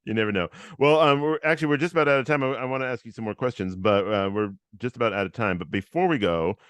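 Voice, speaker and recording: A man hosting a casual interview podcast, upbeat and encouraging, with a loud voice and many fillers. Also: mid-range voice, fast talker, smooth, no echo. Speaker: host.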